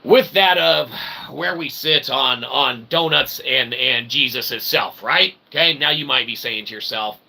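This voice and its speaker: A man's voice: medium pitch, measured pace, gravelly, bright, forceful tone, slightly rough. A man hosting a web show in a rambling, casual, self-deprecating monologue, folksy and full of tag questions.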